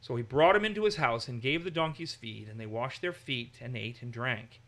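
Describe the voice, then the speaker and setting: Male Bible teacher, lively and bright, slips into voices to act out scenes. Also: mid-range voice, normal speed. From Massachusetts. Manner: animated, acts out quotes in raised voices. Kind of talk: conversational Bible study.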